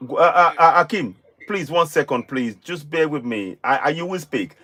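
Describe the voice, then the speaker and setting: Loud, encouraging male stream host. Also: on the high side, expressive, normal speed. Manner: loud, encouraging, repeats short prompts. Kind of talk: live stream moderating.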